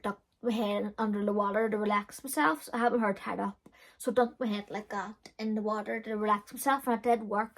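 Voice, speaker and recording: A young woman telling a personal story in a frank, chatty vlog style, with a loud voice and many fillers. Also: mid-range voice. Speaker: beauty vlogger. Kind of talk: casual storytelling.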